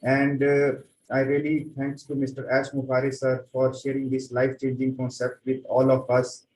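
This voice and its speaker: A man's voice: mid-range voice, completely flat delivery, moderate pace, a little mellow. A man giving an effusive, grateful testimonial as a community member on an online webinar. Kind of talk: earnest thank-you speech on a group webinar. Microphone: online video call. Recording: roomy, distant mic, faint background noise.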